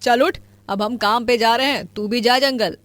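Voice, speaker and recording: Woman as a loud, nagging village woman. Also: a little high, moderate pace, no echo, lightly acted. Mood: scolding. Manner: sarcastic, nagging. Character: scolding, exasperated mother and wife of a lazy man.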